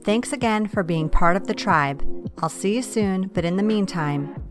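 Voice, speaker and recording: A woman's voice: medium pitch, animated, moderate pace, over music. A woman hosting an interview podcast, encouraging and affirming toward her guest and her listeners. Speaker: podcast host.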